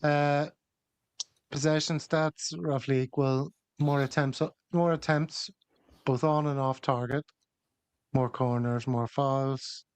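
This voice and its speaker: A man's voice: medium pitch, fairly even intonation, slow pace, smooth, dry recording. Man hosting a football podcast. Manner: reads viewer comments, runs through match stats. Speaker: podcast host. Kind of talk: casual football chat.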